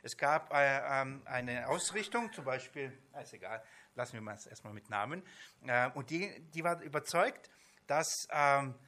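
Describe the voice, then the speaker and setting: Male Bible teacher, non-native speaker, sharp forceful voice, informal lecture delivery with halting rephrasing. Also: medium pitch, animated. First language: not German.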